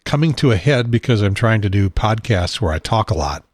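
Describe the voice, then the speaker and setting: Man, low husky voice, rambling, throat clearing, dry close sound. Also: lively intonation, fairly quick, no echo. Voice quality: husky, unsteady voice. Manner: rambling, self-deprecating, frequent throat clearing. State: has a probe in his nose. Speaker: podcast host. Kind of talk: rambling solo talk show. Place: home studio at a desk.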